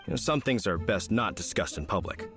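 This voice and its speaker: A man's voice: lowish voice, animated, a little fast, gravelly, over music. A man voicing a dutiful, courteous knight in a video game, speaking formally and earnestly. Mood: suspicious. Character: dutiful, courteous adult male knight captain. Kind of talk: game voice acting.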